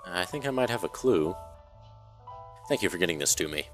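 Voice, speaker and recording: Actor as a deep-voiced, polite sleuth, curious and measured. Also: male voice, lively intonation, music in the background. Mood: inquisitive. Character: calm, curious amateur detective.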